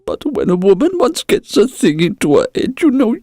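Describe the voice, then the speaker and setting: A man's voice: high voice, big pitch swings, normal speed, mellow, no echo, clean studio sound, voice pushed up. A man voicing a meek, flustered haberdasher of sixty, weak and whining, in an audio drama. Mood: tearful muttering. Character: meek, flustered sixty-year-old haberdasher husband, aggrieved. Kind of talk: dramatised short story acting.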